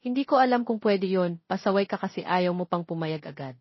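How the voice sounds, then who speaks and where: Young pilot in love, played by a female narrator, teasing, raspy, dry close sound. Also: on the low side, lively intonation, fairly quick, dry recording, lo-fi sound. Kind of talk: dramatized storytelling dialogue.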